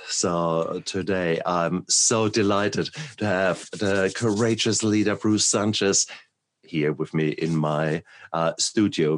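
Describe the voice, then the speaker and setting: Man, very deep voice, enthusiastic podcast host, from Germany, laughs a lot. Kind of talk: conversational interview.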